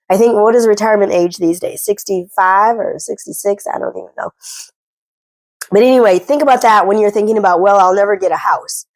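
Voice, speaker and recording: A woman's voice: medium pitch, darkish tone, no room sound. Casting: woman vlogger with a head cold, chatty and earnest, close dry sound. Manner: chatty, earnest, self-deprecating. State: congested from a cold. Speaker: personal finance vlogger. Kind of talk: casual talking-head advice. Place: at home.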